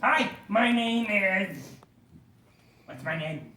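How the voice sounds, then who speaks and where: An excitable, silly dragon puppet, swinging widely in pitch with slow, dramatic delivery. The childlike, stammering puppet-show voice comes from off the mic in an echoey room with faint noise. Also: female voice, far from the mic. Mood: gleeful, silly.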